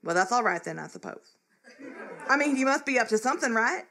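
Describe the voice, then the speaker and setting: A woman's voice: a little high, expressive, brisk, a bit bright. A woman playing a bossy, indignant married lady in a live comic radio play, prim and scolding. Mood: conspiratorial, worried. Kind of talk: live comedic radio theatre acting.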